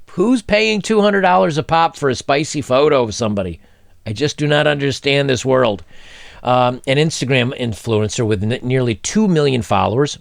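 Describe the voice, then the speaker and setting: Man, 40s, gravelly, blunt commentary host, dry close mic. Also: mid-range voice, dry recording. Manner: blunt, opinionated, animated, with hand gestures. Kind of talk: reading and commenting on a news article. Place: indoor home studio, seated in gaming chair. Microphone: desk microphone in front.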